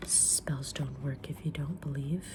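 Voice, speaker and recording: A woman whispering softly in an ASMR roleplay as a kind, mysterious witch reading tarot, with a gentle, soothing delivery. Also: extremely low pitch, steady tone, very noisy. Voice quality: breathy unvoiced whisper. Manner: gentle, soothing, unhurried fortune-teller delivery. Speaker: ASMR creator playing a tarot reader.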